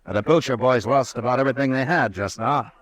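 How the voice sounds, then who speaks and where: Cast a man as a level-headed marshal for a vintage radio western, mid-pitched and calm. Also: no room sound, natural voice. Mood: steady. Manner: calm, level, measured authority. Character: steady frontier marshal, calm and firm. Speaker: town marshal. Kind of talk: 1950s radio drama acting.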